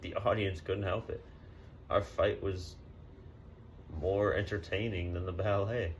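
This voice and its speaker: Man as disgruntled male audience member, low voice, laid-back, slangy, halting grumble, mock newsreel interview, faint noise. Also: fairly even intonation.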